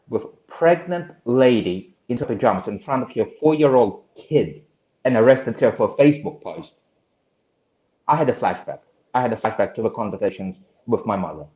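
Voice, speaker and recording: A man in his thirties, originally from Russia, answering interview questions as a political candidate in an earnest, halting way with many pauses and restarts. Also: mid-range voice, warm tone. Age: 30s. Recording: echoey room, dull lo-fi sound.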